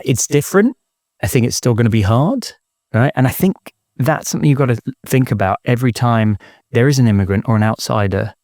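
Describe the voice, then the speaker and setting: A British man talks through his views in a reflective, conversational interview. His voice sits a little low and he often tags his points with a questioning word. The sound is dry, with very little room around it. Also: warm tone, dry recording. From United Kingdom. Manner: thoughtful, peppers points with "right?". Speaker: interview guest, inclusion consultant.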